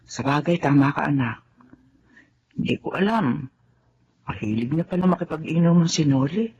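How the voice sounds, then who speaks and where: An actress playing Daleng, a widowed middle-aged housemaid who is loyal and patient, in a radio drama. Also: female voice, low voice, moderate pace, darkish tone, dull audio, a little hiss or hum. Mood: gentle.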